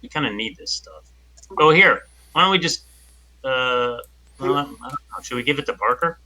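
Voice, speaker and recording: A man's voice: medium pitch, lively intonation, normal speed, bright, forceful tone. A man playing a tabletop role-playing game, talking casually with the group. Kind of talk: casual chat.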